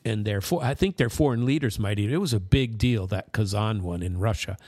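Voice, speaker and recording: A man's voice: on the low side, brisk, darkish tone, no room sound, studio quality. An American man giving informal explanatory commentary, rambling into side stories and checking in with "right?" and "you know". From United States.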